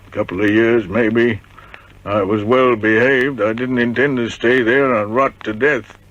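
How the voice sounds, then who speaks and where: An actor playing an old ex-convict in a radio drama, telling vivid, brooding tales of a prison escape. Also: male voice, mid-range voice, steady tone, a little slow, a bit bright, close to an everyday voice. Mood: grimly reminiscing. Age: older. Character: old male ex-convict haunted by Devil's Island escape. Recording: muffled vintage radio drama recording.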